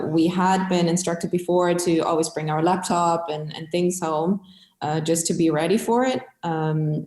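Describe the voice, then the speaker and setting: A woman's voice: lowish voice, a little mellow. German-accented woman in an echoey room. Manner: chatty and upbeat, with frequent fillers. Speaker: customer success team lead. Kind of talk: casual interview talk.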